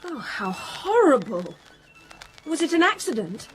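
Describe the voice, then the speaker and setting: High-voiced, sensitive young daughter. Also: female voice, sing-song, a little slow, slight background noise, rough recording. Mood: shocked, upset. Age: young adult. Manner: earnest, questioning. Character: sensitive, curious young upper-class daughter, easily moved. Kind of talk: radio drama acting. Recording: music under the voice.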